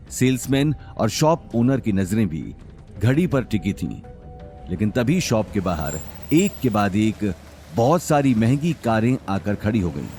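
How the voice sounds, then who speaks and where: Lively male story narrator. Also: on the low side. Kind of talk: dramatic scripted story narration.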